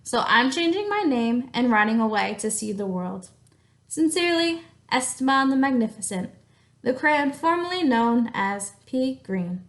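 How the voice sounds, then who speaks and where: A woman's voice: on the high side, very animated, unhurried. A woman voicing a pompous little runaway crayon from a storybook, making grand, formal declarations. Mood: defiant, grand. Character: pompous runaway pea green crayon adventurer.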